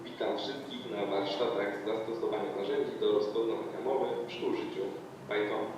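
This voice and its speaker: A man's voice: on the low side, steady tone, fairly quick, far from the mic, background noise. A Polish-speaking man reading short sample sentences carefully, played back from a recording. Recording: playback through speakers in echoey hall.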